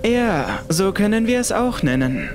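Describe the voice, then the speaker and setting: A man's voice: high voice, sing-song, measured pace, over music, voice pushed up. A man voicing a worried young son in a horror audiobook, urgent and questioning. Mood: amused. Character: worried young son, narrator of his own ordeal.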